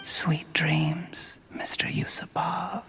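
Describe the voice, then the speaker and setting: A man playing a smug, scheming young usurper in a video game cutscene, smoothly polite, theatrical and calculating. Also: a little high, flat delivery, slow, whispered, music in the background. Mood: hushed menace.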